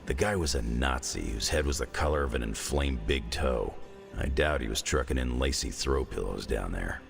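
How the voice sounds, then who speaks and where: An actor playing a gruff, wisecracking clawed mutant veteran in a video game cutscene, with a sarcastic, joking manner. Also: male voice, moderate pace, soft background music. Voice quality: gravelly, very deep.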